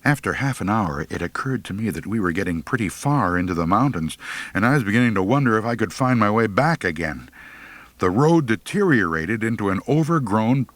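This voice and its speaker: Animated male audiobook narrator. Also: lowish voice, a little fast, no echo. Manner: wry, theatrical. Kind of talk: expressive humorous first-person storytelling.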